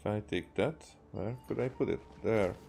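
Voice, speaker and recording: A man from Hungary with a Hungarian accent, musing aloud haltingly as he gives casual gameplay commentary. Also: on the low side, gravelly, a little mellow, faint music behind. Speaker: game streamer.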